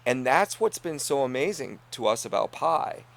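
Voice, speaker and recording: Earnest gravelly-voiced man, trailing off softly. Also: medium pitch, big pitch swings, moderate pace. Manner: earnest, laughs often, trails off quietly. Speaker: inn co-owner. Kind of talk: casual conversation.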